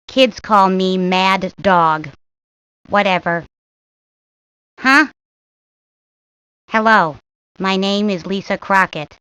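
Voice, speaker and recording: A woman voicing a sullen, bored girl who talks in a reluctant deadpan for a cartoon game. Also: medium pitch, clear, resonant tone, no room sound, rough recording. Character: sullen, bored, withdrawn girl of few words. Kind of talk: cartoon game character lines.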